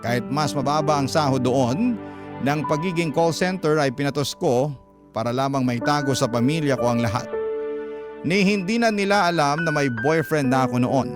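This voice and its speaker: A man's voice: on the high side, normal speed. A man reading a listener's letter as a radio drama narrator, in dramatic scripted storytelling style. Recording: music bed under narration.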